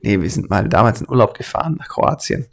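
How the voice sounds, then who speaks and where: Gravelly, husky man with a light Swabian accent. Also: fairly low pitch, expressive, fast talker. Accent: southern German, light Swabian. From Swabia, southern Germany. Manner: colloquial, chatty, chuckles often. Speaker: tutorial host, airbrush artist. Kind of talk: casual hands-on tutorial commentary.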